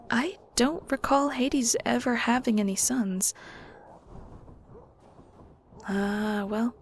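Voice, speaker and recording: A woman playing a shy, curious young ghost in an audio roleplay, hesitant, polite and chatty, with soft breathy edges. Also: mid-range voice, a little hiss or hum. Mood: apologetic, curious. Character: shy, curious young female ghost, newly arrived in the underworld. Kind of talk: audio roleplay, intimate conversational acting.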